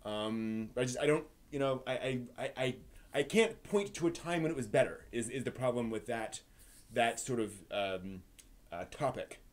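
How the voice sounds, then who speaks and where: A man hosting a live stream in casual, halting chat, circling back over his phrases. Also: medium pitch. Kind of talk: casual rambling chat about anime.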